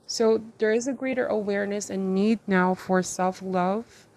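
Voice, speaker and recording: A woman's voice: medium pitch, fairly even intonation, measured pace, a little mellow. Woman giving a reflective tarot reading. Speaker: tarot reader and channel host. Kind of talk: intuitive tarot reading addressed to viewers.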